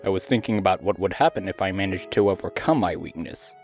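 An earnest young knight from a fantasy game, played by a man, speaks in a somewhat deep voice. His delivery is formal, solemn and chivalrous, full of devotion. Faint music plays underneath. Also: fast talker, a little mellow, lightly acted. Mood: tender, devoted. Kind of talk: fan dub of a fantasy game dialogue.

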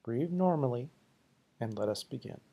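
Guy doing guided meditation, slow soothing delivery, breathy husky voice with lots of fry. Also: mid-range voice, animated, dark tone. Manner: slow, deliberate, soothing, short pausing phrases.

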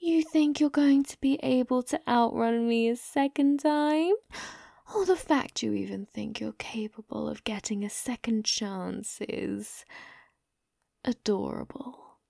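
A woman playing a young, possessive and sadistic yandere captor in an ASMR roleplay, taunting in a mockingly sweet, breathy, cooing way. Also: high-pitched, dark tone, dry recording, studio quality, exaggerated voice. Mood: mock-sweet taunting. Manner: taunting, teasing, cooing, breathy drops to whisper.

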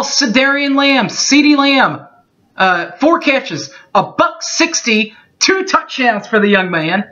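A man in his thirties reading through postgame football stats from his bedroom, steady and methodical, with small slips he corrects as he goes. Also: very high voice. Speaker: sports fan recapping a game. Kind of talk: webcam postgame stats recap. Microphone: webcam mic. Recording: dull lo-fi webcam audio.

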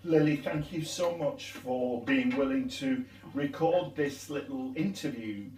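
An older man, a parish priest, interviewing a guest in a measured, courteous manner at a tea table in a glass conservatory. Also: mid-range voice, a little mellow. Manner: measured, courteous, slow and deliberate. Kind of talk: gentle, formal interview. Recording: echoey room, distant mic.

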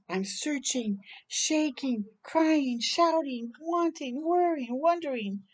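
Slow, high-voiced older woman reading aloud. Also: expressive. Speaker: children's storytime host. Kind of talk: read-aloud of a rhyming picture book with brief asides. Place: outdoors on a porch.

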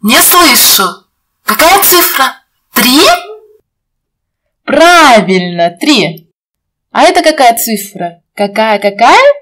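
High, lively woman teaching small children slowly. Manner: encouraging, sing-song teacher talk with questions to the viewer. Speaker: presenter of a children's counting video. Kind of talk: educational narration for young children.

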